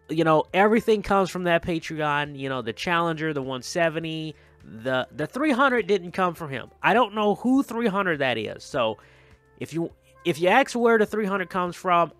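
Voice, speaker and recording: A man's voice: a little high, fairly bright tone, no echo. A man doing casual, chatty gameplay commentary in informal, colloquial speech, often repeating his phrases. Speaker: gaming video creator and host.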